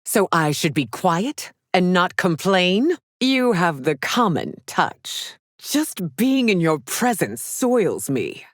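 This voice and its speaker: An actress playing a haughty, regal, middle-aged warrior empress in a video game, speaking formally and imperiously with theatrical diction. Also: female voice, fairly low pitch, sing-song, slow, hardly any pauses, no room sound, studio quality, full character voice. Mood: scornful. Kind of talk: video game character voice lines.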